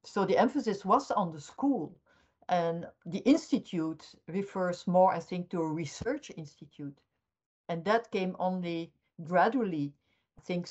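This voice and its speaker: Older Belgian-raised woman academic, lower pitch, measured explainer, muffled lo-fi recording. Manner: measured, explanatory, frequent restarts. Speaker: criminology professor, guest. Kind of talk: academic interview answers. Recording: dull lo-fi audio.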